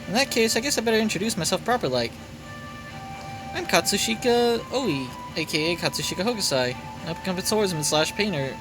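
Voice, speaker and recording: A man voicing a cocky young swordswoman painter in a game, with rough, slangy, boastful talk. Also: high-pitched, rough recording.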